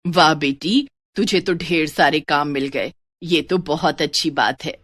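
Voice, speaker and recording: A woman's voice: low voice, a little slow, a bit bright, voice pushed down. A woman voicing a worried middle-aged mother and wife in a family story, anxious and weary. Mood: pleased.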